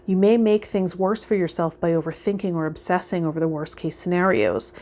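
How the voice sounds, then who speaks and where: A woman hosting a vlog as a tarot reader, talking to viewers in a gentle, reflective way and offering spiritual guidance. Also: on the low side, fairly quick. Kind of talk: casual spiritual guidance talk to viewers, partly read out.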